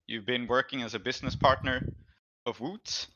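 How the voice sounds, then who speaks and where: A man with a bright, forward voice narrates a tabletop game as game master, improvising scenes as he goes. The sound is dry and close, a little dulled in the recording. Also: medium pitch, steady tone, normal speed, dry recording, highs cut off.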